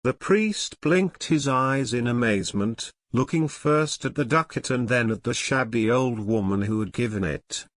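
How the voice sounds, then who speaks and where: Measured male storyteller with a dry, close sound. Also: mid-range voice, no echo. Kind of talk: scripted storybook narration for English learners.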